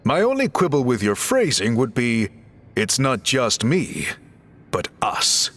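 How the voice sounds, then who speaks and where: Courteous scholarly mentor, lively intonation. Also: male voice, medium pitch, exaggerated voice. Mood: reverent, explaining. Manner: polite, measured, explanatory. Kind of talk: video game voice acting.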